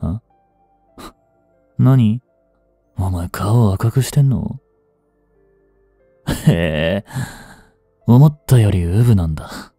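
Man acting a slow-drawling, cocky young street punk. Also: on the low side, expressive, mellow, breathy edge, no echo, studio quality, faint music behind, full character voice. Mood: teasing, smug. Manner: brash, slangy tough-guy talk, drawling. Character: cocky, rough-tongued young male street delinquent, defiant and teasing. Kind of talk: Japanese audio drama acting, one-sided dialogue to the listener.

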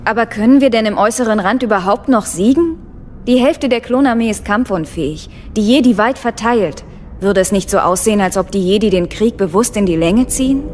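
A woman plays a serious senator in a radio drama, questioning and grave. Her middle-pitched voice rings in a long hall reverb. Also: a little fast, a little mellow, slight background noise, natural voice. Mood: concerned, questioning. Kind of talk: German radio drama acting.

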